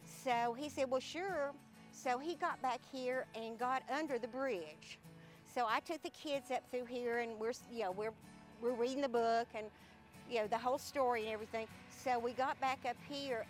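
Southern woman, librarian, chatty storyteller who laughs a lot; slightly high voice, faint music underneath. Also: normal speed. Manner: chatty, laughs often while telling anecdotes. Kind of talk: casual interview storytelling.

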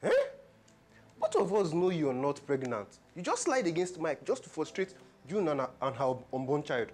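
A man's voice: fairly high pitch, big pitch swings, normal speed, a little mellow. A man with a Nigerian accent playing a stern, reproachful man in a film drama, firm and admonishing. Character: stern, reproachful man scolding a troublesome woman.

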